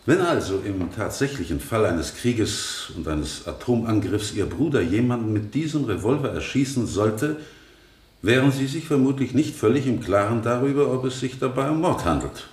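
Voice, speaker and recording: An actor playing a shrewd defence attorney in a radio courtroom drama, questioning formally and deliberately. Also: male voice, mid-range voice, animated, a little mellow, lightly acted. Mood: pointed, formal. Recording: roomy, faint noise, vintage radio production.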